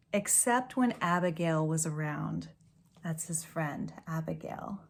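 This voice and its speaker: A woman reading a children's storybook aloud like a teacher, with expressive delivery and gentle asides. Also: fairly low pitch. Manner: slow, deliberate, expressive storytelling.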